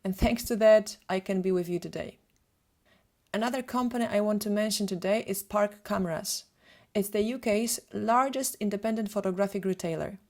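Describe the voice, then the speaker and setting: Woman, Polish accent, native Polish speaker, medium pitch, photographer hosting a webinar, prepared presentation. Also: a little mellow. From Poland.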